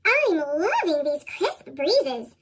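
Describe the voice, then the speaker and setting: A woman's voice: very animated, slow, fairly bright tone, full character voice. An American woman voicing a curious leaf eager for adventure in a storybook, with a chipmunk effect on her voice. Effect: sped-up chipmunk voice, pitched very high.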